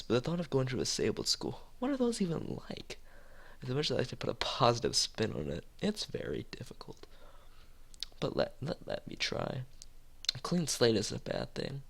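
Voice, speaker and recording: A man's voice: medium pitch, vocal fry, heavily acted. A man voicing a bitter, shaken teenage boy in a visual novel read-aloud, brooding and resentful, over game music.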